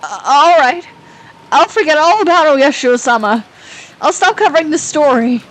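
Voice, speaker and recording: A woman's voice: a little high, big pitch swings, slow, full character voice, rough recording. A woman voicing a male freelance writer in a horror drama, unhinged and sneering, swinging between panic and cold menace. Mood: panicked. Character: freelance writer man, panicky then coldly menacing killer.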